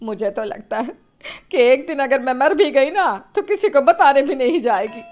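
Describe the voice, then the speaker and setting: Here is an actress as an exasperated middle-aged mother-in-law in an audio moral story. Her voice is fairly high. She scolds and nags theatrically. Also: animated, moderate pace, darkish tone. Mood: complaining. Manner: nagging, theatrical. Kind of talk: acted Hindi moral story.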